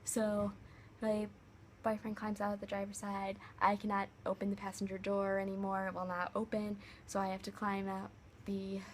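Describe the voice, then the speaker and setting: A young woman vlogger with a high-pitched voice, casually retelling a story to camera, full of "like" fillers. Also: slight background noise. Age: young adult. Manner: chatty, many "like" fillers, animated retelling.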